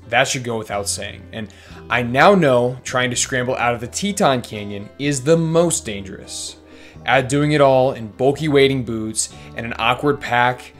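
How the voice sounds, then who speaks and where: A young man narrating a vlog in voice-over, candid and self-deprecating as he looks back on his mistakes. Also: medium pitch. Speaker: fishing vlogger.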